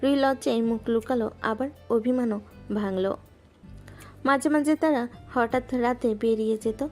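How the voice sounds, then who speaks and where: Mellow woman narrating a romance story. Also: on the high side, normal speed. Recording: music bed underneath.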